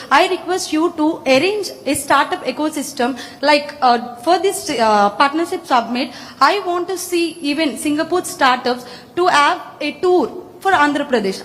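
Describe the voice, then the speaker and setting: A woman in her twenties with an Indian accent, making an earnest, unscripted request from the audience as a startup founder. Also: high-pitched. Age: 20s. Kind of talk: unscripted public request to a leader. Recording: echoey hall microphone with background noise.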